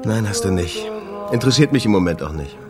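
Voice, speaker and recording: A man's voice: on the low side, expressive, fast talker, music in the background, close to an everyday voice. A man playing a harried, irritable jazz club owner in a German radio drama, impatient, curt and nervy.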